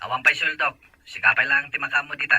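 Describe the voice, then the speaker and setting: A man's voice: on the high side, fairly even intonation, quick pace, sharp tone, poor audio. A man playing an irresponsible, irritable and dismissive older brother with a Filipino Ilocano accent in an audio drama. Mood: irritated, dismissive.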